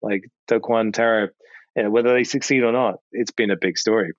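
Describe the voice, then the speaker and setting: A man's voice: fairly low pitch, steady tone, a little fast, no room sound. British man chatting in slightly muffled audio. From United Kingdom. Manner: informal, repeats phrases for emphasis, quotes imagined dialogue. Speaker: podcast guest, video producer. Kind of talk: casual chat, animated anecdotes.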